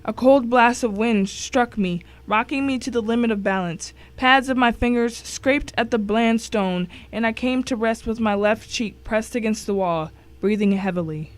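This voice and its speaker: A woman's voice: fairly high pitch, normal speed, few pauses. A woman giving a dramatic first-person reading of a short story as one of its narrators.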